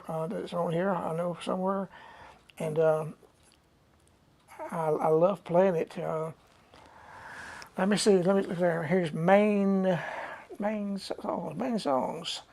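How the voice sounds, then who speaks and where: A man, chatting casually and unhurriedly to his live audience as a musician host, with many pauses. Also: high-pitched, fairly even intonation, creaky, darkish tone. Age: 70s. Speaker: musician hosting a live stream. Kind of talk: rambling casual chat.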